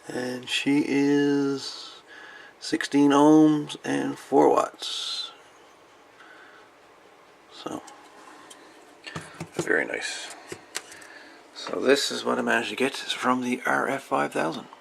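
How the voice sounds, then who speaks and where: A man's voice: mid-range voice, steady tone, extremely slow, creaky, pauses often, a little hiss or hum. A man hosting a hobby video, chatting casually and unscripted in a folksy way as he shows things off. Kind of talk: casual show-and-tell of salvaged radio parts.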